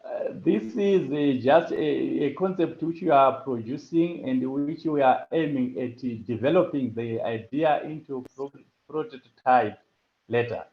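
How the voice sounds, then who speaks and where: A man presenting a farming project and answering questions on a call, pausing often with fillers and restarts. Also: mid-range voice. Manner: halting, with many fillers and restarts. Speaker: hackathon presenter. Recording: echoey lo-fi call audio.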